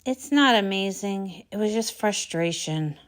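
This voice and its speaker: A woman's voice: mid-range voice, expressive, measured pace, pitched below the performer's own voice, lightly acted. A woman voicing a grumpy, self-pitying old male wizard pony in a storybook, grumbling in defeat. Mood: bitter, dejected.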